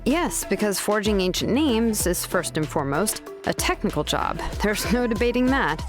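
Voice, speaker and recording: An actress plays a young woman who forges names in a video game. She is matter-of-fact and quietly sure of herself, explaining her craft in measured phrases. Her pitch moves in a lively way over faint music. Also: lightly acted. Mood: explaining calmly.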